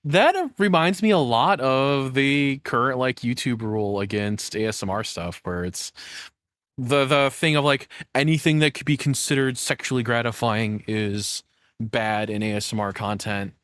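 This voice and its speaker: A man's voice: medium pitch, normal speed, a bit bright, no echo, clean studio sound. A man giving casual, rambling reaction commentary, often slipping into imagined quotes as he talks.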